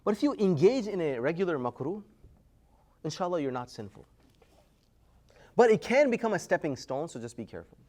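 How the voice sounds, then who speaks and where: Energetic man quizzing his class. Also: sits high, fairly quick, long pauses. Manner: energetic, quizzing the class, rising loudly to prompt answers. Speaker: Islamic studies teacher. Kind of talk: classroom lecture with call-and-response.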